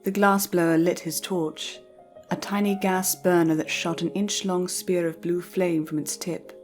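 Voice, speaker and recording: A woman storyteller reading scripted fiction narration in a measured, careful way. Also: fairly low pitch, level tone, faint music behind.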